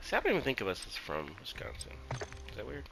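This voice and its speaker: A man's voice: medium pitch, wildly swooping pitch, fast, creaky, pushed and bright, a little hiss or hum. A man chatting with friends while gaming, playful and rambling as he jokes about family. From born in the Philippines, raised in many places. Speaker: gamer in a voice-chat squad. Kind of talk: casual game voice chat.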